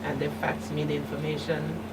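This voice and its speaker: A middle-aged woman giving candid personal testimony as an interview guest, speaking haltingly with emphatic turns. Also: sits low, completely flat delivery. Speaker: guest, survivor and advocate. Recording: distant mic, noisy room hum.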